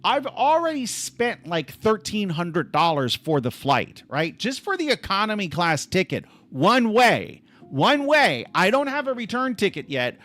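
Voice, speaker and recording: A man's voice: high voice, very animated, unhurried, few pauses, fairly bright tone, no room sound. A man hosting a casual livestream from home on his laptop, rambling and restarting his sentences with lots of "like.". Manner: rambling, restless, full of restarts and "like". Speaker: livestream host.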